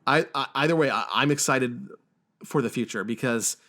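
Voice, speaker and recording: A man hosting a casual film discussion podcast, who speaks haltingly and often repeats words as he goes. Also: medium pitch, pushed and bright. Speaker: podcast host.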